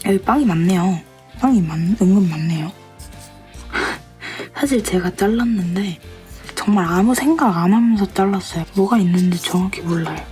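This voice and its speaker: A woman with a cold, her voice a little rough, chatting casually as she works on a paper craft project and thinking aloud. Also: mid-range voice, moderate pace, soft background music. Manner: chatty, thinks aloud, asks herself questions, soft asides. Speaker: stationery craft vlogger. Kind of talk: casual narration while crafting.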